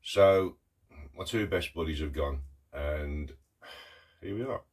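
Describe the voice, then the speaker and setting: A middle-aged man with a croaky voice from a cough, talking haltingly and earnestly in a casual video diary. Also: extremely low pitch. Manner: halting, many ums and pauses, earnest. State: hoarse with a cough. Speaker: artist vlogger. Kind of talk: casual talk to camera.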